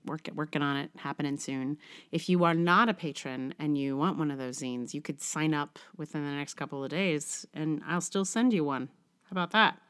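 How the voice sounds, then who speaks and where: A middle-aged woman hosting a podcast, chatting casually in a halting, informal and self-deprecating way. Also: low voice, a bit bright, clean tone. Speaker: podcast host. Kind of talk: casual podcast outro chat.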